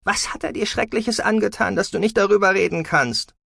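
A man's voice: sits high, big pitch swings, moderate pace, gravelly, slightly rough, no echo, studio quality, voice pushed up, heavily acted. A man voicing a hot-tempered, defiant adolescent girl in an audiobook, indignant and emphatic. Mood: angry. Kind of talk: dramatic audiobook character acting.

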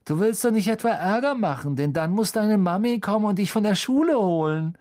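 A reader voicing an arrogant, spiteful schoolboy bully in a storybook, loud, cutting and taunting. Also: mid-range voice, big pitch swings, normal speed, voice pushed up, close to an everyday voice. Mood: mocking, threatening.

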